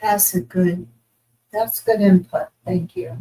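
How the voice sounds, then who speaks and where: A woman hosting a casual interview at a restaurant, friendly and quick to laugh. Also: mid-range voice, steady tone, dark tone, poor audio. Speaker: host.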